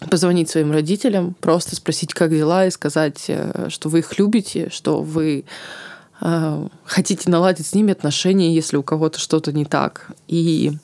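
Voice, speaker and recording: A woman's voice: deep voice, steady tone, normal speed, mellow, no room sound. Female podcast host, shaky tearful voice, hesitant and heartfelt, dry close-miked sound. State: voice trembling from tears. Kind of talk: podcast conversation and sign-off.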